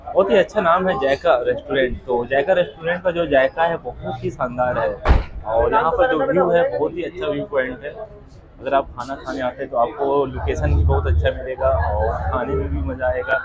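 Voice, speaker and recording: A man's voice: medium pitch, brisk. A young man in his twenties chatting casually as a vlogger, filming himself on a phone held at arm's length. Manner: chatty, repetitive, walks while filming. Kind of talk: casual vlog narration. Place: outdoors in city streets and a busy restaurant. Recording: dull lo-fi phone audio with crowd. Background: crowd chatter.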